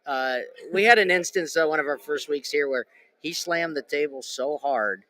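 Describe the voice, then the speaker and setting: Bright-voiced rambling male host. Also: a little high, moderate pace, a little hiss or hum, low-quality recording. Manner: animated, rambling with many restarts and fillers. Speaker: podcast host. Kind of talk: casual chat.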